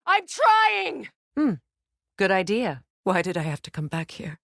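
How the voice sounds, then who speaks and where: A woman playing a proud, guarded warrior goddess in a video game, speaking in a measured, commanding, solemn manner. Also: medium pitch, huge pitch swings, vocal fry, fairly bright tone, no echo, studio quality, heavily acted. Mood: urgent, shouting. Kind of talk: dramatic video game acting.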